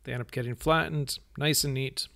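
A man of middle pitch narrates his woodworking project as a voice-over. He explains each step in plain, easygoing words and sometimes restarts a phrase. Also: steady tone, fairly quick, vocal fry, bright, forceful tone. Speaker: woodworking channel host.

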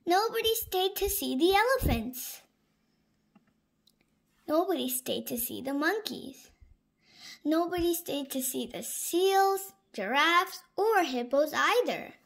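A woman reading a picture book aloud to young children in an animated, emphatic way. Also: very high voice, vocal fry. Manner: slow, animated, with exaggerated emphasis for small children. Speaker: storybook reader for young children. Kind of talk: expressive read-aloud narration of a picture book.

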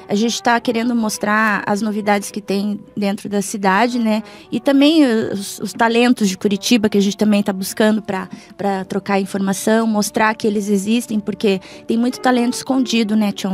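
A woman's voice: medium pitch, steady tone, moderate pace, few pauses. A middle-aged woman, chatting as a guest in a radio interview. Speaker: interview guest, blogger and video presenter. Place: small foam-padded radio studio. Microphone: studio microphone. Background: faint music.

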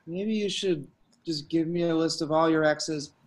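A man's voice: on the high side, moderate pace, close to an everyday voice. A man playing a sheepish, hapless young slacker in a table read over a video call. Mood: awkward, defensive.

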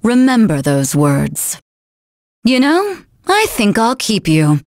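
A woman voicing a cruel, haughty female general in a video game, taunting with a theatrical, drawn-out delivery. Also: fairly high pitch, huge pitch swings, darkish tone, dry recording, studio quality, exaggerated voice. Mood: haughty, mocking. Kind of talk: video game character voice lines.

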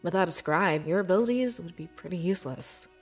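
Loyal young furry scribe girl for an rpg, kinda low, measured and sincere. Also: husky, faint music behind. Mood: explanatory, friendly. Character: young female red-furred beast scribe, devoted and earnest. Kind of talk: scripted video game character dialogue.

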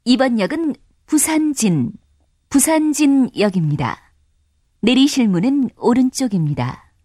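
A woman's voice: on the high side, sing-song, unhurried, warm tone, husky, no echo, clean studio sound. A woman reading scripted subway station announcements in a formal, carefully enunciated manner. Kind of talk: scripted public transit announcement.

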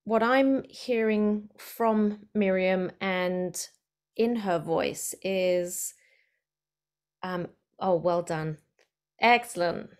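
A woman's voice: medium pitch, very slow, a little mellow, clean tone. A woman speech therapist giving an online presentation over a video call, explaining in a friendly way with some fillers.

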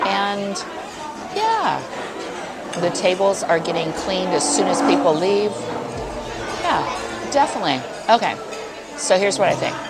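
A woman casually reviewing food to camera in a playful, exclaiming way, chatting between bites. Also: fairly low pitch. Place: busy buffet restaurant, indoors. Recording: busy restaurant chatter with music behind. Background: crowd chatter and music.

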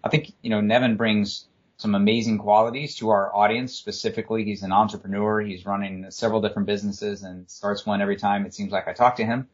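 A man with a fairly low voice hosts a casual podcast interview and talks in a friendly, easygoing way. He often pauses with fillers, and the audio sounds dull and lo-fi. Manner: friendly, relaxed, with frequent "uh" fillers. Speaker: podcast host.

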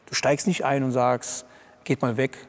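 A man's voice: mid-range voice, unhurried, background noise. Man explaining in a reverberant garage. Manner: relaxed, explanatory, many fillers. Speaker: racing driver. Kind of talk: seated interview. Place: garage by a race track, indoors.

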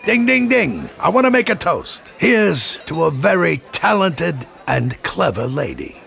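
An actor playing an effusive, creaky-voiced man gushing through a party toast in an audio drama. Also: on the high side, big pitch swings, a little slow, a bit bright, soft background music, heavily acted. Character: gushing male dinner-party guest, effusive toast-maker. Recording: noisy party crowd behind voice.

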